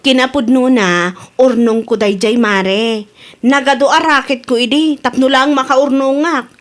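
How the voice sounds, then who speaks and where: A woman playing a chatty, frank village mother in an Ilocano radio comedy drama, animated and teasing, with a Filipino Ilocano accent. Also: high-pitched, unhurried. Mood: cheerful, frank.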